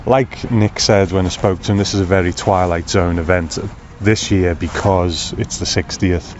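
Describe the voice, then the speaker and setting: Male host, low voice, chatty and encouraging, casual interview, muffled outdoor recording. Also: fairly even intonation, normal speed, a little hiss or hum. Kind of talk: casual on-location interview chat. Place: outdoors in a park. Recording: dull sound with loud outdoor ambience.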